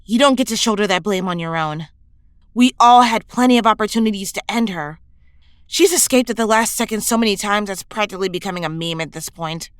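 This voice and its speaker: A woman's voice: mid-range voice, fairly quick, clear, resonant tone, no echo, clean studio sound, lightly acted. A woman playing a supportive, college-age superhero in an audio drama, talking casually and sincerely.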